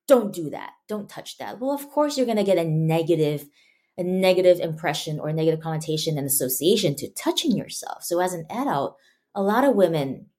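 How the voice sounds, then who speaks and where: Animated storytelling woman from Malaysia. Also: fairly low pitch. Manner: animated storytelling, acts out quoted scolding voices. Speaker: guest. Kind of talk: conversational interview.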